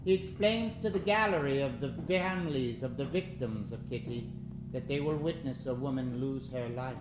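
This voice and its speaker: A man hosting an old-time radio horror show, narrating in a melodramatic, ominous way with drawn-out dramatic emphasis. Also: medium pitch. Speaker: host and announcer of a live radio drama show. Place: live stage show before an audience. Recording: roomy live stage recording with music. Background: music.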